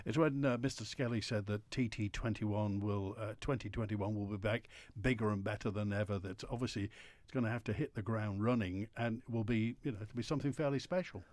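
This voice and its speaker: A man's voice: fairly low pitch, fairly quick, darkish tone, no room sound. Chatty male radio phone-in host. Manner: chatty, with frequent uhs.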